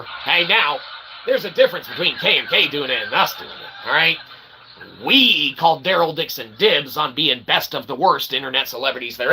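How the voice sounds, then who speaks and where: A man hosting a casual, rambling livestream, self-deprecating and excitable, speaking of himself as "we.". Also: fairly high pitch. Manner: refers to himself as "we". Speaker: livestream host. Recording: clearly noisy background ambience.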